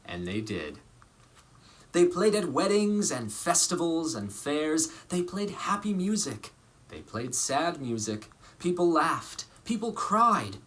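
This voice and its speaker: Male storybook reader, bright forward tone, very animated melody, theatrical emphasis. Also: a little high, slow. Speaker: actor reading a children's storybook aloud. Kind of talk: expressive storybook narration.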